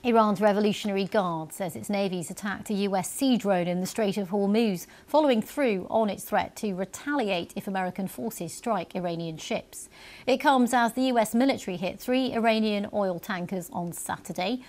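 Brisk woman presenting TV news. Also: mid-range voice, darkish tone. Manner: brisk, clear read with occasional stumbles.

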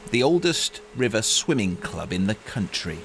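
A man's voice: fairly low pitch, very animated, moderate pace, creaky, music in the background. A man narrating a scripted television news report as a correspondent.

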